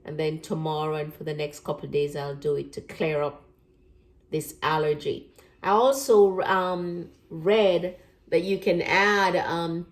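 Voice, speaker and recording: An older woman, a retired nurse, chatting casually at home as she walks through a simple remedy step by step, often repeating herself. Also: low voice. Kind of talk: casual home demonstration video. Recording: echoey room with faint background hiss.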